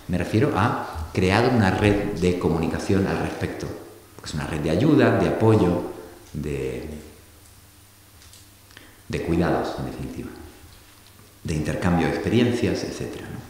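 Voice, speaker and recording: A middle-aged man from Argentina giving a reflective public talk, thoughtful and halting, often restarting his sentences. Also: low-pitched, darkish tone. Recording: echoey hall recording from a distance with faint hiss.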